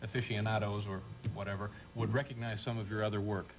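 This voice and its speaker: A man's voice: lowish voice, level tone, fast. A man hosting a talk show, asking questions in a hesitant way with many fillers. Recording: noisy 1980s TV broadcast with audience.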